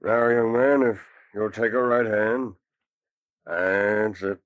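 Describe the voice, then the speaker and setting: A folksy, kindly judge, dry sound. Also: male voice, lowish voice, fairly even intonation, slow pace, no room sound, phone-quality sound. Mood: genial, officiating. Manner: homespun, avuncular. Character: folksy, obliging small-time male judge, kindly. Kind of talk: 1940s radio horror acting.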